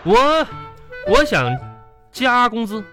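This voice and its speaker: A man's voice: very high-pitched, sing-song, slow pace, sharp tone, soft background music, exaggerated voice. A man playing a needy, pushy office worker in a radio comedy sketch, with a stammering, pleading way of talking.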